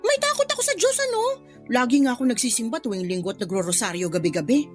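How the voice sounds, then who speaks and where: Meddling, sharp-tongued Filipino aunt for radio drama: tactless, emphatic, very animated pitch. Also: female voice, fast talker. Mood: defensive, indignant. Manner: blunt, brazen, swooping emphatic delivery. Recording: music behind the voice.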